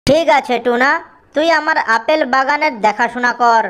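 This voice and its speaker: A man's voice: very high voice, moderate pace, bright, forceful tone, clean tone. A man voicing a grumpy male jackal uncle in a cartoon, with a scolding, indignant manner. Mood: bargaining. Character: grumpy male jackal uncle guarding his apple orchard.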